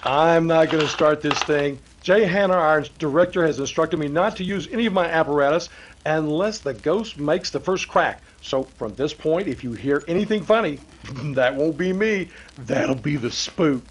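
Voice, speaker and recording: A man's voice: on the high side, lively intonation, normal speed. An actor playing a joking, folksy sound-effects man in a 1930s-style radio drama. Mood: chatty, joking.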